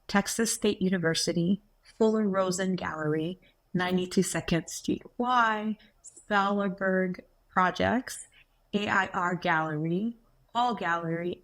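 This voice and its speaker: A woman's voice: lowish voice, fairly bright tone, rough recording. Woman hosting an interview podcast. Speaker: podcast host.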